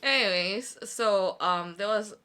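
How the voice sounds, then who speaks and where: A woman's voice: mid-range voice, slow pace, noisy background. A young woman from Korea chatting casually as a podcast guest, rambling with restarts and laughing often. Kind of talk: casual chat.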